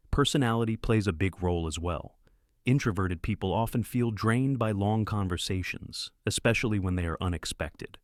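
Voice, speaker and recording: A man reading scripted explainer narration in a measured, deliberate way. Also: on the low side, dry recording, clean studio sound.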